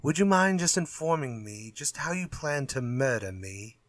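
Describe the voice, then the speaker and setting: An actor playing a glib, unafraid writer in a retro radio drama, with flippant, old-fashioned phrasing. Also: male voice, medium pitch, lively intonation, normal speed, a bit bright, dry recording, studio quality, close to an everyday voice. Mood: amused defiance. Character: glib, unafraid male writer sparring with his villain.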